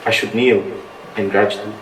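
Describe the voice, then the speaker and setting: A man from Indonesia, reading poetry at an open mic in an earnest, deliberate way. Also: lowish voice, level tone, a bit bright. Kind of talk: live poetry reading with halting spoken introduction. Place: indoor bar venue at a live open-mic night. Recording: roomy, distant mic, faint background noise.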